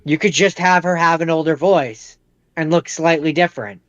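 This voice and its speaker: A man co-hosting a podcast in casual banter, haltingly repeating himself as he argues his opinions. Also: on the high side, dry recording, muffled recording. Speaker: podcast co-host.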